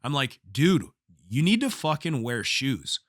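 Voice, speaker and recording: A man hosting a solo podcast as a coach, telling stories in blunt, profane, slangy talk, slightly hoarse from a cold. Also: medium pitch, very animated, measured pace, no room sound, studio quality. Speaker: podcast host, jiu-jitsu coach and gym owner. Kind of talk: casual solo podcast storytelling.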